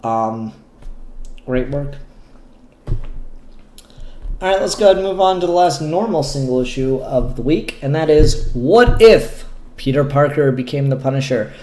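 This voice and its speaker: A man's voice: mid-range voice, animated, a little slow, pauses often, dark tone, reverberant. A man giving casual, opinionated review commentary on comic books in a rambling, enthusiastic way.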